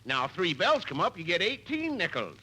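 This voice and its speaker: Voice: mid-range voice, huge pitch swings, brisk, sharp tone, slightly rough, exaggerated voice. An actor playing a polite male hotel clerk in a 1950s radio comedy. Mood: polite, dry. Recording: noisy old radio recording.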